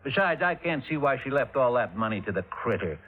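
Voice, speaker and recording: A man's voice: mid-range voice, big pitch swings, a little fast, natural voice. An actor playing a suspicious, grumbling middle-aged father in a 1950s radio drama. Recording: tinny old radio recording.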